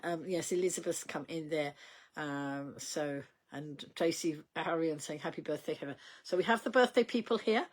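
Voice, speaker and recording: A woman's voice: sits low, normal speed. Chatty grandmother rambling to her viewers. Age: older. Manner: chatty, rambling, reads out viewer comments, frequent ums and laughs. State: slightly croaky. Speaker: livestream host. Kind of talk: casual livestream chat.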